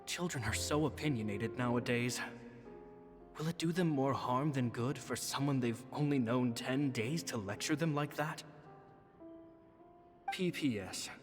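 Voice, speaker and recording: A man's voice: medium pitch, unhurried, pauses often, natural voice. Man playing musing magician in long reverb. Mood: musing, self-doubting. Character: reflective, fretful male stage magician reading his journal. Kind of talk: dramatic game narration. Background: music.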